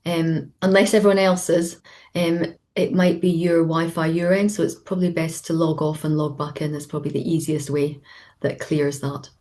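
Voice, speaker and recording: A woman, an executive coach, talking on a webinar in an animated, storytelling way. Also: low voice, moderate pace. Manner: animated, lively storytelling with acted quotes. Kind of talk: conversational webinar interview.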